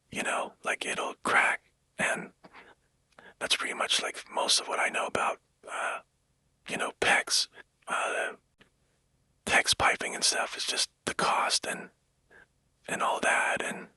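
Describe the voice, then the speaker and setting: A man speaking in a breathy, unvoiced whisper, rambling casually and hesitantly in a soft ASMR-like monologue. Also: no room sound.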